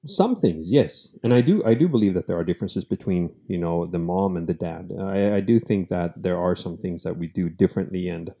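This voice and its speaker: Man, host, low voice, mellow tone, earnest, casual interview. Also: lively intonation, a little fast, hardly any pauses. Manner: earnest, thinking aloud. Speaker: podcast host.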